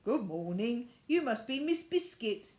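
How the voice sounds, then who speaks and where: A woman's voice: a little high, very animated, unhurried, a little mellow, voice pushed up. A woman voicing a friendly, helpful man in a children's story, genial and obliging.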